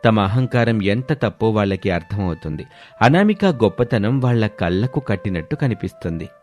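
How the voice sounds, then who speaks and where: A man's voice: on the low side, big pitch swings, moderate pace, darkish tone, faint music behind. A man reads scripted narration of a moral family story as a storyteller.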